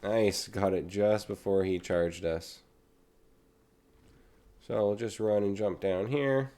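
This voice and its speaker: A young man with a cold and a raspy throat, casually talking through a video game as he plays. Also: fairly low pitch, takes it slowly. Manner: relaxed, chatty, talks while playing. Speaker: gaming channel host. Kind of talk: casual gameplay commentary.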